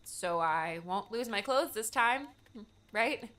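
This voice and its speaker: A man's voice: high-pitched, expressive, slow pace, pushed and bright, clean tone, voice pushed up, exaggerated voice. A man voicing a nervous, curious young woman in an audiobook, with a hesitant, questioning manner. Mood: unsure. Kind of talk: audiobook character voice.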